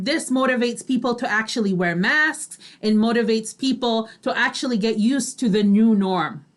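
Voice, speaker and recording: A woman's voice: on the high side, a bit bright. A woman co-hosting a radio show in a casual, chatty and agreeable way, peppering her talk with "like" and "you know".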